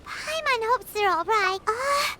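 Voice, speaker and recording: A woman voicing a tiny, childlike fairy companion in an anime video game, squeaky, excitable and fretful. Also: takes it slowly, full character voice. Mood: worried, excited. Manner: squeaky, speaks of herself in third person.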